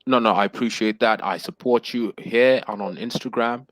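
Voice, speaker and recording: A man's voice: medium pitch, moderate pace, a bit bright, no room sound. A man in his thirties joining a casual panel discussion over a video call, earnest and formal, often calling others sir. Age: 30s. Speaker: panel guest.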